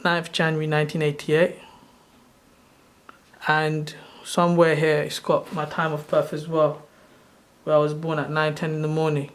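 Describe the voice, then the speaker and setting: A soft-spoken man in his thirties, originally from Sri Lanka, casually walking through his own screen in a loose, rambling way. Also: fairly high pitch, monotone, normal speed, long pauses. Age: 30s. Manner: rambling, loose and self-correcting. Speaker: channel host. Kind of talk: casual screen-share walkthrough.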